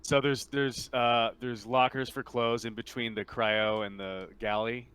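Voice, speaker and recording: A man's voice: mid-range voice, flat delivery, unhurried, a bit bright, clear, resonant tone. A man taking part in a tabletop roleplaying game, casually explaining and chatting in character.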